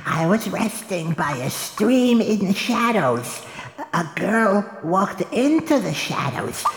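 A woman playing a nervous, servile villain's minion in an audio fairytale, stammering and halting. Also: mid-range voice, sing-song. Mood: nervous, eager. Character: nervous, cringing fairy minion Babek, reporting to mistress. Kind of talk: audio theatre fairytale acting. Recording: roomy, echoing reverb.

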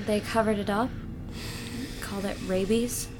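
A woman's voice: mid-range voice, fairly even intonation, unhurried, noisy background, lightly acted. An actress playing an anxious, urgent young woman in a horror audio drama. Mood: uneasy. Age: young adult.

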